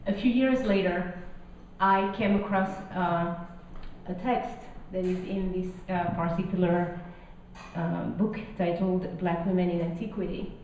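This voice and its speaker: A woman's voice: mid-range voice, a little hiss or hum. French lady giving a talk in a gallery, lots of um and uh, far off and echoey, bit muffled. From France. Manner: friendly, hesitant, many fillers. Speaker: lecturer. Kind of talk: public lecture. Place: small gallery room with guests. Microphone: handheld mic, far camera. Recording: roomy, distant, dull lo-fi.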